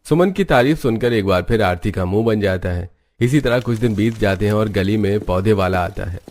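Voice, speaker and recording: A man's voice: on the low side, normal speed, a little mellow. A man narrating a scripted cartoon moral story.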